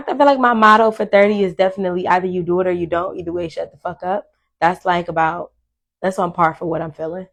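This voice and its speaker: A woman in her thirties, speaking, hosts her own talk show and addresses viewers directly. She is blunt and candid, swearing without hesitation. She rambles through her thoughts, with plenty of "um"s and restarts. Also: medium pitch. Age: 30s. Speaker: podcast host. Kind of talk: casual solo monologue to viewers.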